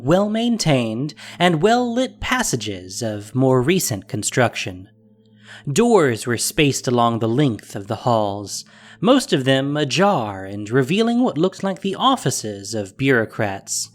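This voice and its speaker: A narrator reading a scripted fantasy story with expressive, descriptive delivery. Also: medium pitch.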